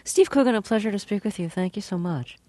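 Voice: mid-range voice, lively intonation, fast talker, darkish tone. A radio host asking curious, appreciative interview questions.

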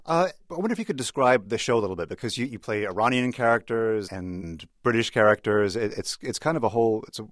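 A man's voice: on the low side, lively intonation, fast, few pauses, no room sound, studio quality. An American man speaking as a radio interviewer. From United States. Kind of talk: interview questions and scripted introduction.

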